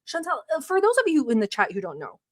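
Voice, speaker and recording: A woman's voice: high-pitched, wildly swooping pitch, fast talker. A woman from Turkey who hosts a livestream, chatting casually and rambling with many filler words, sometimes bossy and sarcastic toward her chat. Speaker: livestream reaction host.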